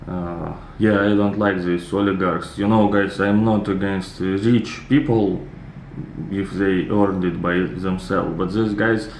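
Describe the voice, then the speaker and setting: Low-voiced Russian man, slow and echoey. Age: 30s. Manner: relaxed, rambling, many fillers. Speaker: reaction video host. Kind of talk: casual commentary. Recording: echoey room with clear background noise.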